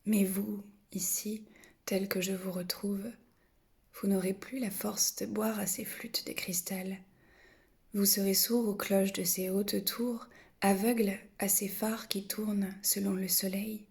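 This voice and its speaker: A woman recites verse at a very slow pace. Her voice is soft and breathy, close to a whisper, with little rise and fall in pitch. The delivery is solemn and contemplative, each phrase measured and set apart by pauses. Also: level tone. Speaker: poetry reader. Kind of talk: solemn literary poetry recitation.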